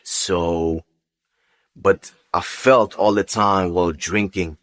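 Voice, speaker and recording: Low-voiced man giving halting personal testimony. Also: fairly even intonation. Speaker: interview guest giving testimony. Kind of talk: personal testimony, unscripted storytelling.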